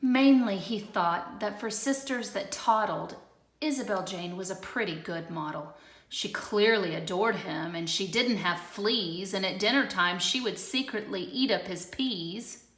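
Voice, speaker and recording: A woman teacher reading a rhyming picture book aloud, expressive, moving between loud lines and hushed ones. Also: mid-range voice, unhurried. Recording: roomy echo.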